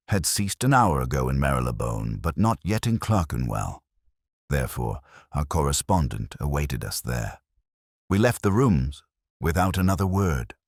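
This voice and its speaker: A man reading a scripted first-person mystery story as an audiobook narrator, in a measured, solemn, literary manner. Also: a bass voice, no room sound, studio quality.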